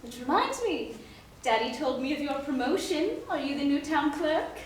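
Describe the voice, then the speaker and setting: A woman's voice: high-pitched, animated, normal speed, slight background noise. A woman playing a domineering, status-obsessed young society fiancée in stage musical theatre, with a grand, bossy, theatrical delivery. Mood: gushing. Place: staged reading before a live audience. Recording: echoey live stage, distant mic, loud music.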